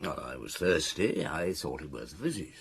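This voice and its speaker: Husky, low-voiced, world-weary police inspector. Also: male voice, animated, exaggerated voice. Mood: reflective recounting. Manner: dry, measured, probing. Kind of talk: radio drama acting, dialogue and reminiscence.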